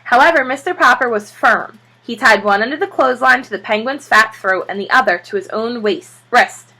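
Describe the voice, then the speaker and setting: A woman reads a children's book in an expressive teacher's storytelling style. Her voice sits fairly high, with a bright edge and some huskiness. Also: fairly quick, low-quality recording. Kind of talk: expressive read-aloud storytelling.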